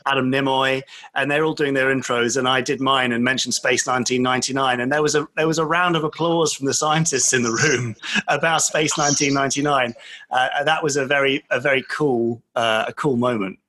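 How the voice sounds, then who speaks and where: Man, medium pitch, bright crisp-edged tone, polite, casual interview host. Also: steady tone, brisk. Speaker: podcast host.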